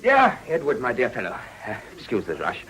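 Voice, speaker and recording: An actor playing a genial parliamentary chief whip with an English accent, clipped and a little hesitant, in a radio drama. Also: male voice, medium pitch, wildly swooping pitch, fairly quick, a bit bright. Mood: brisk, genial.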